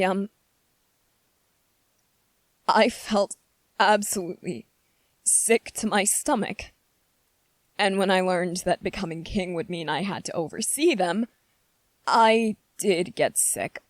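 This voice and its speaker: Girl doing soft-hearted young prince for asmr roleplay, really slow n halting, earnest, kinda pleading, super dry close sound. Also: on the low side, no room sound. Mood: uneasy, reluctant, then earnest, hesitant. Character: gentle, anxious young prince, earnest and devoted. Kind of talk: ASMR roleplay, scripted character acting.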